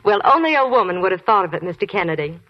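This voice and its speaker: A woman's voice: mid-range voice, big pitch swings, quick pace. An American woman doing a scripted, chatty advertising spot on 1940s radio. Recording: dull 1940s radio recording.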